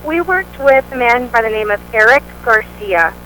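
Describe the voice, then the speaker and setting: Woman guest, bright tone, upbeat and chatty, faint background hiss. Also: fairly high pitch, animated, normal speed, poor audio. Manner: upbeat, chatty, many fillers. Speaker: band vocalist, interview guest. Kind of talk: casual interview answers.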